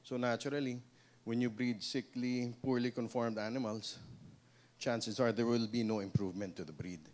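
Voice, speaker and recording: A man's voice: medium pitch, steady tone, moderate pace, darkish tone. A man in his thirties with a Filipino accent giving a seminar talk on a handheld microphone in a small room, speaking conversationally and often adding a questioning "no?". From Philippines. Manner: conversational, frequent "no?" tag questions and restarts. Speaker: seminar speaker, pig breeder. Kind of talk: seminar talk mixing English and Tagalog.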